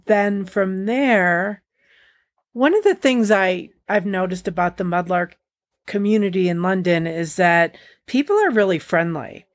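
A woman hosting an interview, excitable and sometimes stumbling over her words. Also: medium pitch, unhurried, no room sound, clean studio sound. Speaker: podcast host.

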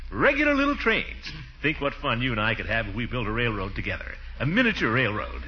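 A man's voice: mid-range voice, big pitch swings, brisk, lightly acted. A man playing a jovial, blustery middle-aged uncle in a 1940s radio comedy, with hearty, chuckling delivery. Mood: jovial, eager. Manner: chuckling, hearty, exaggerated swoops. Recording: noisy, muffled 1940s radio recording.

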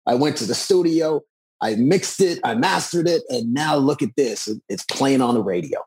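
Male, 40s, slightly raspy mid-pitched voice, earnest interviewee speaking conversationally. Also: expressive, moderate pace, a little mellow, low-quality recording. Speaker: musician and author being interviewed.

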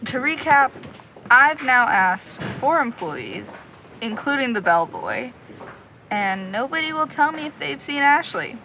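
A woman plays an eager young investigator in an audio drama. Her voice is high and bright, chatty and easily flustered. Clear ambient noise runs behind her. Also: very animated, unhurried, lo-fi sound, full character voice. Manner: perky, flustered, talks to herself. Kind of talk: audio drama, talking into a recorder. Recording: clearly noisy background ambience.

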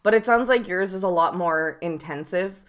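A woman's voice: on the low side, moderate pace. A woman hosting a podcast, asking questions in an enthusiastic, encouraging way. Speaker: podcast host. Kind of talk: podcast interview.

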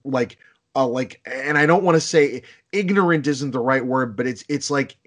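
A man from Boston with a Boston accent, chatting casually in a rambling, animated way with many restarts and casual swearing. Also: mid-range voice, moderate pace. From Boston, United States. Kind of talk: casual chat.

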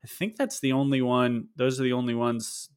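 A man's voice: mid-range voice, moderate pace. Wry, opinionated man hosting a podcast. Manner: opinionated, wry, many fillers. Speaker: podcast host. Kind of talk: casual sports podcast chat.

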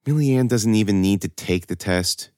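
A man's voice: lowish voice, normal speed, darkish tone, no room sound, studio quality, voice pushed up, lightly acted. A man voicing a protective female schoolteacher in an audiobook, earnest, insistent and exasperated.

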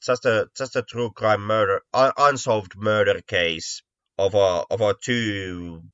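Male co-host, halting repeated words, casual discussion. Slightly deep, recorded with some room echo. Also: steady tone, fairly bright tone, smooth. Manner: stammering, often repeats words mid-sentence. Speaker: podcast co-host. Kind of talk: casual discussion of mystery stories. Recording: dull, lo-fi, roomy echo.

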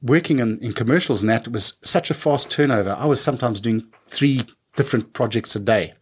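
A man's voice: medium pitch, fairly quick, breathy edge. A man in his fifties talks loosely about his early years and his music. He rambles, breaks off, and restarts his sentences. It sounds like an easygoing chat. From South Africa. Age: 50s. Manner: rambling, many restarts and fillers. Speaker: musician guest. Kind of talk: casual interview conversation.

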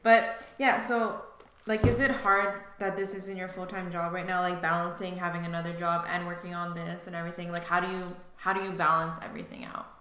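A woman's voice: lowish voice, little pitch movement, brisk, sharp tone. A young woman hosting a casual podcast interview, upbeat and friendly as she asks questions. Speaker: podcast host. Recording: echoey room, faint noise.

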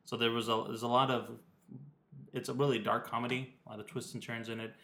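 A man's voice: medium pitch, little pitch movement, fast talker, fairly bright tone. A young man co-hosting a casual podcast while sick with a cold, talking in a meandering, hesitant way with many ums. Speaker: podcast co-host. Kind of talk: casual chat. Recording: echoey room.

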